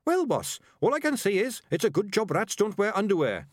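A man's voice: sits high, huge pitch swings, fairly quick, no room sound, clean studio sound, voice pushed up. A man voicing a plump, cheery little male rat in a storybook, with a small voice and polite, understated chirpiness. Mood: cheerful, unruffled.

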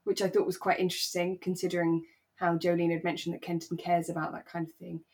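A woman co-hosting a podcast, talking thoughtfully and in a relaxed way about a radio drama, repeating phrases for emphasis. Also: lowish voice, fast. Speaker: podcast co-host.

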